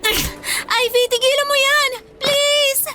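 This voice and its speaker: A woman's voice: very high-pitched, flat delivery, measured pace, sharp tone, a little hiss or hum, exaggerated voice. A woman playing a meek, bullied schoolgirl in a Filipino radio drama, speaking in an earnest, pleading way. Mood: distressed. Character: meek, hurt bullied schoolgirl who loves pocketbook romances.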